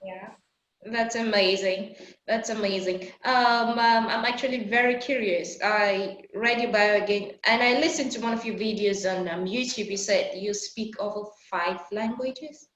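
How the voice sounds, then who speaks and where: Host: African woman, medium pitch, chatty and upbeat, echoey recording. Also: steady tone, normal speed, a bit bright, a little hiss or hum. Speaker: interview host. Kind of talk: casual interview conversation.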